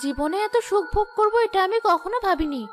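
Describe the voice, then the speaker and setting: Woman voicing a very shrill, snappish she-ghost. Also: expressive, fast, soft background music. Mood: greedy, gloating. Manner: snappish, irritable, scolding. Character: greedy, sly female Bengali ghost possessing a village wife. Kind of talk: Bengali cartoon ghost story voice acting.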